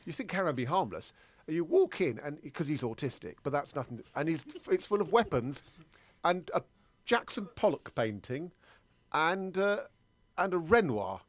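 A man's voice: fairly high pitch, sing-song, moderate pace, no room sound. Man acting an anxious, dithering British customer. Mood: alarmed, rambling. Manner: rambling, hesitant, stammering, self-doubting. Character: anxious, dithering middle-aged male sweet-shop customer. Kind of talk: improvised comedy monologue and sketch.

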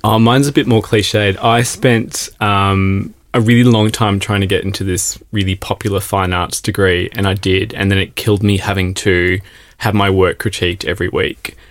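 A man's voice: low-pitched, fairly even intonation, moderate pace, no room sound. A man, an agency managing director, answering interview questions in a wry, self-deprecating way. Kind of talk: casual interview chat.

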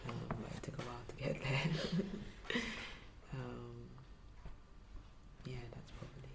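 A barber and performer from Nigeria and London, answering in a casual interview with many ums and a soft, half-whispered delivery. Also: medium pitch, level tone, unhurried, gravelly, crowd noise. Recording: heavy background noise.

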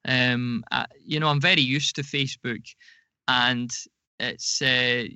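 A man's voice: medium pitch, steady tone, slow, pushed and bright, clear, resonant tone, no room sound. A man speaking as a podcast guest in an interview, explaining things conversationally, often repeating words and correcting himself.

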